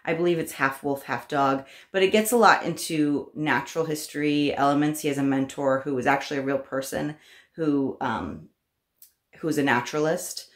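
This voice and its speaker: A woman in her thirties with a distinctly low voice speaks to camera in a casual vlog style. She is conversational, hedging and rephrasing as she makes recommendations. The room around her adds a noticeable echo. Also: normal speed. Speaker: homeschooling parent vlogger. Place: small room at home, indoors. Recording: roomy indoor echo.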